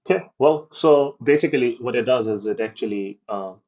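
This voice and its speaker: A man explaining and demoing his software project in a casual walkthrough, hesitating with ums and restarts as he goes. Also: medium pitch, brisk, highs cut off. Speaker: developer giving a project demo. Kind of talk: casual screen-share demo walkthrough.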